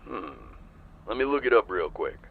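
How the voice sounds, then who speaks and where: A man playing a calm, businesslike police chief in an audio roleplay, heard through a phone filter. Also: lowish voice, moderate pace, slight background noise. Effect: phone call filter. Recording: tinny phone line.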